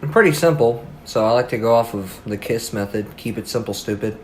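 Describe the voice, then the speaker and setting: A man's voice: on the low side, fairly quick. A man being interviewed, giving his opinion informally and emphatically, repeating points for emphasis. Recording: noticeable background ambient noise.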